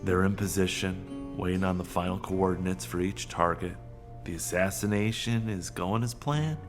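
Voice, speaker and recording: An actor playing a neurotic, self-dramatising middle-aged boss in a comedic audio drama, rambling and theatrical. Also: male voice, low-pitched, normal speed, faint music behind, natural voice. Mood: sheepish, rambling.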